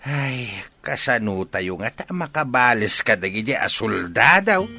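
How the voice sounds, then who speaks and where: A man with an Ilocano accent playing an excitable, meddling village sidekick in a comedy radio drama. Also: mid-range voice, unhurried, gravelly, music in the background. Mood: incredulous, egging on.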